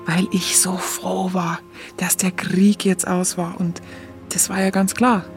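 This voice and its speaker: Munich woman narrator for radio play: Bavarian accent, colloquial, confiding recollection, clear music bed. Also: lowish voice, little pitch movement, takes it slowly. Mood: candid, confiding. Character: Munich woman candidly recalling her hungry youth in 1945.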